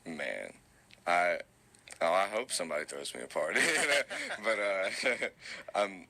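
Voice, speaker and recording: A young man with a very low voice that still carries a bright, forward edge. He talks in a relaxed, rambling way, full of fillers and restarts. The sound is tinny, like an old phone recording. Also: slow, creaky. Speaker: musician guest. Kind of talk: casual interview answers.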